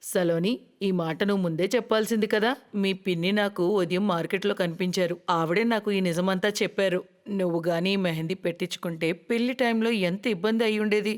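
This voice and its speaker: Woman acting as kindly middle-aged mother-in-law, firm, mid-pitched, cartoon dialogue. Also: steady tone, fairly quick, lightly acted. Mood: firm concern.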